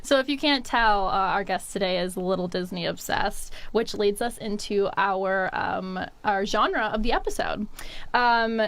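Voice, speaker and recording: A woman's voice: medium pitch, measured pace, fairly bright tone. A young woman hosting a casual quiz show, upbeat and giggly, with frequent ums. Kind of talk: casual chat.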